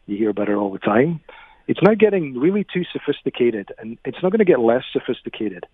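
A man's voice: mid-range voice, big pitch swings, quick pace, breathy edge, no room sound. A man with a Scottish accent, a cybersecurity expert explaining things emphatically as a phone-in guest. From Scotland. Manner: emphatic, repeats key phrases. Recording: tinny phone line.

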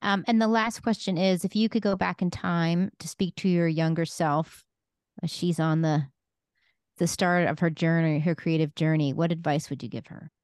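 A woman's voice: on the low side, steady tone, normal speed, a little mellow, dry recording, studio quality. A woman hosting a podcast interview in a conversational style, putting questions to a guest. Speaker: podcast host.

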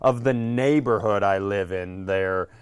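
A man with a clean, smooth voice in a very dry recording, riffing casually as a podcast host. He talks in a sardonic, crude comic manner. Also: lowish voice, a little slow, dry recording.

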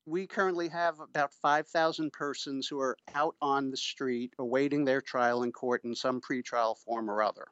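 A middle-aged man giving measured, formal and emphatic explanations as an expert guest in an interview. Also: medium pitch, fairly even intonation, a bit bright, clear, resonant tone, no room sound, lo-fi sound. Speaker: interviewee, pretrial services official and former prosecutor. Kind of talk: explanatory radio interview answers.

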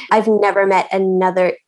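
A woman from Canada talking as a podcast guest, with a middle-pitched voice. She chats loosely, laughs easily and often restarts her phrases. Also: little pitch movement, measured pace, a little mellow. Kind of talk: casual chat.

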